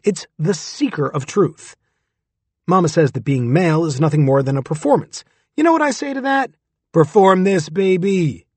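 A man's voice: high voice, darkish tone, breathy edge, no echo, pitched above the performer's own voice, full character voice. A man voicing a brash, provocative man in his thirties who rants and mocks on a stage. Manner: ranting, mocking.

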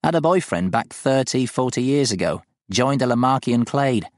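A man's voice: mid-range voice, animated, normal speed, no room sound, studio quality, pitched above the performer's own voice, close to an everyday voice. A man voicing a shrewd, cagey old woman farmer in her seventies with rustic, colloquial phrasing. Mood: scornful, reminiscing.

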